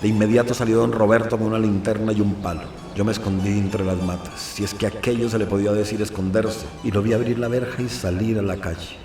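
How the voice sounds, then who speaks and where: A man's voice: lowish voice, steady tone, fairly quick, hardly any pauses, lightly acted. An actor playing a restless, obstinate priest who narrates his own story in dramatic, confessional phrasing for an audio novel. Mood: tense, restless. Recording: roomy, distant narration over faint music.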